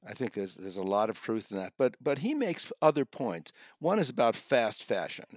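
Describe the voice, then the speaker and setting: A man's voice: mid-range voice, big pitch swings, normal speed, no echo. A man co-hosting a podcast, reviewing audiobooks in a discursive, conversational way and often rephrasing his point.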